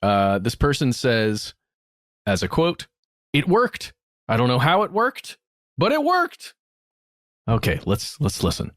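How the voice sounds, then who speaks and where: A male podcast co-host talking casually and cackling often. His pitch swings widely as he talks. The recording is dry and close. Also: huge pitch swings, slow pace, long pauses, fairly bright tone, dry recording. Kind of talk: casual chat.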